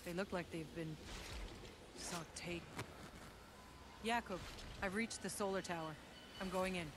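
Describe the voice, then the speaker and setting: A tough, wisecracking soldier in a video game, pitched high for a male voice. His delivery is dry and wry, over loud game noise. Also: a little slow. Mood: wry, businesslike.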